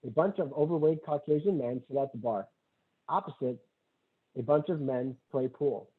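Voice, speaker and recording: A man's voice: medium pitch, moderate pace, husky. A man reading screenplay stage directions as scripted narration at a table read. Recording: tinny phone-like audio.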